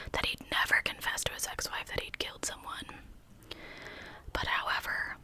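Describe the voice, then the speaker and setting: A woman hosts a true crime ASMR story entirely in a hushed whisper. The sound is very bright and sharp, with almost no voiced tone. She retells the case conversationally, like informal storytelling. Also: rough recording.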